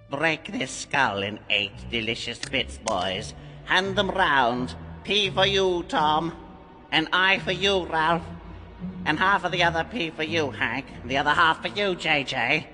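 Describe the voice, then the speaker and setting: An actor playing a mysterious, grave bargain-maker in a dramatized audiobook, coaxing and solemn in deliberate, theatrical phrases. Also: male voice, mid-range voice, pushed and bright. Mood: coaxing, sly. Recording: long hall reverb, music underneath.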